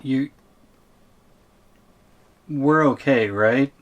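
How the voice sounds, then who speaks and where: A man's voice: medium pitch, drawn-out words, dull audio. A man acting a tiny, shrunken captive boyfriend in an ASMR audio roleplay, reluctant and wary, with a hesitant, wry way of protesting. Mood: worried.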